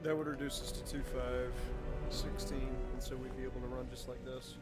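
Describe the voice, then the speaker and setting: A man leading a video game raid over voice chat, giving clear step-by-step instructions to his group. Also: medium pitch, flat delivery, fairly quick. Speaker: raid leader and streamer. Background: loud game music.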